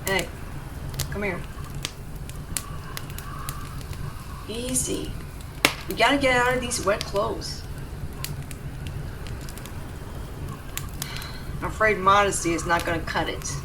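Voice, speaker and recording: A young woman voice actor playing a gruff but devoted tsundere rescuer in an intimate, emotional audio roleplay. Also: medium pitch, moderate pace, lightly acted. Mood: urgent, caring. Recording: distant mic, clear background noise ambience.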